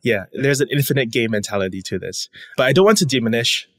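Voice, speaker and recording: A man's voice: mid-range voice, lively intonation, fast. A man from Singapore in his thirties, talking as a podcast guest in a reflective, casual way with light laughs. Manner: reflective, laughs between points. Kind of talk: casual interview chat.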